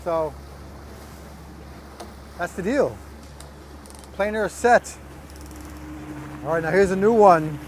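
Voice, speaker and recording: A man's voice: sits high, slow, pauses often, darkish tone, a little hiss or hum. A man co-hosting a fishing vlog outdoors on a boat, explaining his gear in a laid-back, step-by-step way. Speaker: fishing partner, co-host. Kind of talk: casual explaining while rigging gear.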